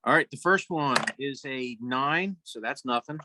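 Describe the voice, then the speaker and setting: A man's voice: mid-range voice, measured pace. A man talking casually as a tabletop game player, on a noisy recording. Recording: noisy microphone with clicks.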